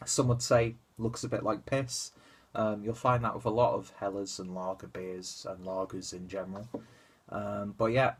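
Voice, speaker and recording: Young man, stuffy and nasal, low husky voice, rambling casual vlogger at his desk webcam. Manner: rambling, chatty, lots of fillers and asides. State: stuffy, congested nose. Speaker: beer reviewer. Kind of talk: casual beer review vlog. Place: small room at home, indoors.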